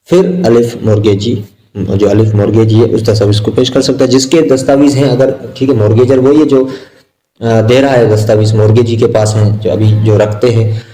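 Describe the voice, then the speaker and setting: Pakistani guy doing an exam prep lecture, dark relaxed voice, kinda halting, repeats himself a lot. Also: on the low side, rough recording. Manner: repetitive, halting explanation with simple examples. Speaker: law lecturer.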